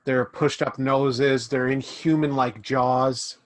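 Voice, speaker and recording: A man running a tabletop role-playing game over a video call, narrating scenes slowly and descriptively. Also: medium pitch, little pitch movement, dry recording. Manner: slow, drawn-out descriptive narration. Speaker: game master. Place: home room indoors. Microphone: video call webcam mic.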